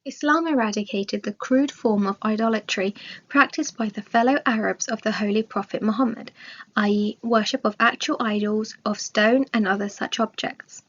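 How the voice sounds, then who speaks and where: A woman reading a scripted religious study aloud in a measured, formal manner. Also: mid-range voice, fairly bright tone. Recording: dull, lo-fi recording.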